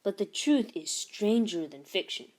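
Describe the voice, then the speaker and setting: A woman's voice: medium pitch, sing-song, unhurried, darkish tone. A woman voicing a small, nerdy know-it-all schoolboy in a storybook, explaining things earnestly and wryly.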